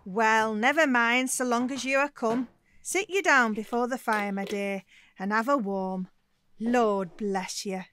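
Woman acting an outspoken mother, highish voice. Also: unhurried, fairly bright tone, a little hiss or hum. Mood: fond. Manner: emphatic, old-fashioned phrasing. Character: warm-hearted, outspoken working mother, quick to indignation. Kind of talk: audio drama acting.

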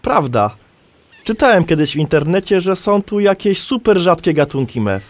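A man's voice: high voice, very animated, moderate pace. An actor playing a curious, slightly skeptical man in a conversational audio drama, asking questions.

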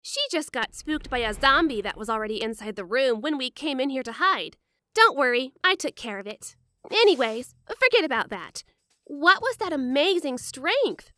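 A woman's voice: high-pitched, very animated, moderate pace, sharp tone, no room sound, clean studio sound, exaggerated voice. A woman playing a bubbly, cheeky, carefree youngster in a fan dub, with a chirpy, playful manner. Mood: breezy, reassuring.

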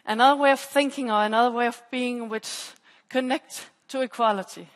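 A woman's voice: on the high side, fairly even intonation, a little fast. A woman giving an academic conference talk on a headset mic, explaining and restating her phrases. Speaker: PhD researcher presenting at a conference. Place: stage in a large dark auditorium. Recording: echoey hall with long reverb.

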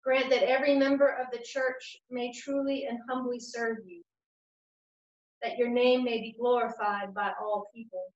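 A woman with a slightly high voice leads liturgical prayers in a measured, steady cadence. She is recorded on a webcam in a small room at home. The sound is echoey and a little dull and lo-fi. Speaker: church worship leader.

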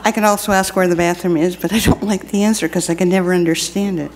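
Older woman host, low-ish voice, loose and rambling, introducing a guest at a podium mic. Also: steady tone, quick pace. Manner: warm, rambling, with many fillers. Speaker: program host introducing a speaker. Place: lecture room, at a podium. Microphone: podium microphone on a stand.

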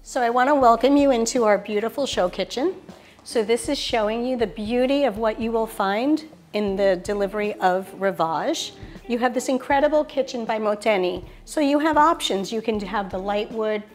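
A middle-aged woman with a mid-range voice, talking through a show apartment in an upbeat sales pitch. She reels off finishes and features with polished enthusiasm. Soft music plays quietly underneath. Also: moderate pace. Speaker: sales director giving a property tour. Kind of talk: polished real estate showcase tour. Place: show apartment kitchen, indoors.

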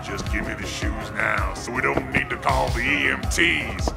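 An actor playing a crude, thuggish bully with a coarse, taunting drawl in a comic audio drama. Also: male voice, mid-range voice, moderate pace, creaky. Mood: menacing, taunting. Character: thuggish, crude male bully with a shoe fetish. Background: music.

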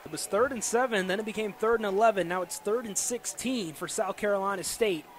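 An American man calling live football play-by-play on the radio with excitable, dramatic delivery. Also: high-pitched, fairly quick. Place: football stadium during a live game. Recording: noisy live stadium broadcast.

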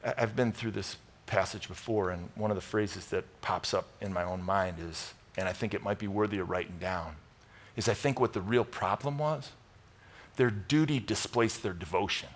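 A middle-aged American man preaching a conversational sermon, emphatic and animated, moving between loud bursts and hushed asides. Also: lowish voice, normal speed. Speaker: pastor preaching. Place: large church auditorium stage with audience.

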